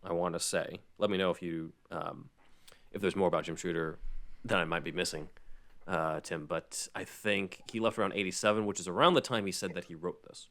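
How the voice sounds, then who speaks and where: A man with a very low voice hosts a casual show. He explains things conversationally, wandering into asides as he recaps. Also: steady tone, a little fast, fairly bright tone. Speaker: podcast host.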